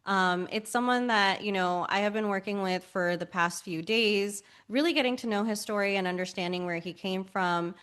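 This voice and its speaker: A woman hosting an interview, earnest and warm toward her guest, with many fillers. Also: mid-range voice, normal speed, hardly any pauses, smooth. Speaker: podcast host.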